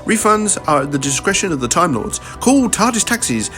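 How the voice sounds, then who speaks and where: A man's voice: sits high, sing-song, brisk, over music. A man delivering a scripted comic advert as an announcer.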